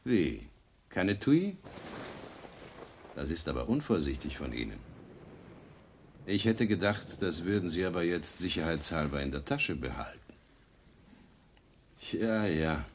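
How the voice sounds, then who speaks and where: An actor playing a menacing, cynical man in a radio play, husky, insinuating and condescending as he probes. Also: low voice, very animated, a little slow, long pauses, a little hiss or hum, full character voice. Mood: mocking, insinuating.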